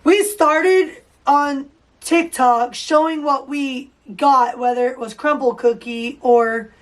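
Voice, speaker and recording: A woman with a hoarse, high voice hosts a casual podcast. She talks slowly and rambles with fillers and restarts. Her voice is picked up from a few feet back from the microphone. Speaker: podcast host. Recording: voice distant from the mic.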